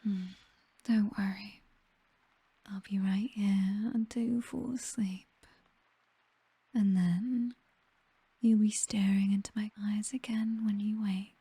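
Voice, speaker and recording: A British vampire mistress acted by a woman speaks in a very breathy, whispered voice, slowly and possessively. She dotes on her servant with teasing, commanding tenderness. It is an intimate ASMR roleplay, close to the microphone and very dry. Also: medium pitch, fairly even intonation, mellow, no echo, exaggerated voice. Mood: possessive, tender.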